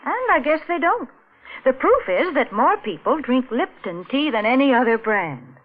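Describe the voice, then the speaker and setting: Female radio announcer, animated, higher voice, chatty sales pitch, thin phone-like vintage recording. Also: moderate pace. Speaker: commercial announcer and host's foil. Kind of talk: 1940s radio sponsor pitch.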